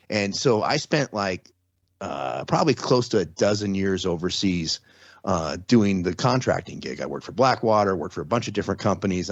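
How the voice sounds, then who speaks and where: A middle-aged man with a low voice tells his story in loose, rambling talk, restarting sentences and tossing in "right?" often. The sound is dry and a bit dull, like a lo-fi recording. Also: dry recording. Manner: rambling, many restarts and tag questions. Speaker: guest. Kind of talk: casual interview, storytelling.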